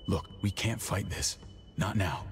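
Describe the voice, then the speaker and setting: Grave military man for game drama, very deep, measured and resigned, light music under. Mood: resigned, urgent. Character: stern male soldier, protective but resigned. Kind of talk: naturalistic dramatic game acting. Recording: faint music behind the voice.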